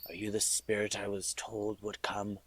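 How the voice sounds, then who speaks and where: A man's voice: lowish voice, completely flat delivery, moderate pace, background noise, lightly acted. A man playing a wary, wistful old businessman in a radio drama. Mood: timid, wary.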